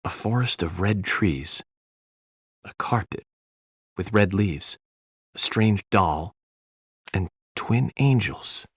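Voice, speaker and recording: A courteous, eccentric American investigator from a video game. He speaks in measured, formal phrases with a brooding edge, recorded very dry and close. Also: sits low, a bit bright, slightly rough, no room sound, exaggerated voice. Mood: brooding, musing. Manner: measured, formal, musing aloud. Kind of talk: scripted video game voice acting.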